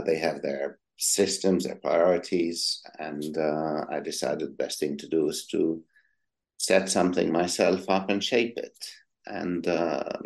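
A man's voice: extremely low pitch, creaky, darkish tone. A middle-aged man from Egypt, a psychiatrist answering interview questions with many hesitant ums and uhs. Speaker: psychiatrist guest.

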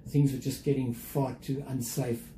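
An older man with a South African accent, talking reflectively and carefully as an interview guest, with measured, hesitant phrasing. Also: medium pitch, level tone, warm tone. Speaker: interview guest, museum chief executive. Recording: roomy echo, faint background noise.

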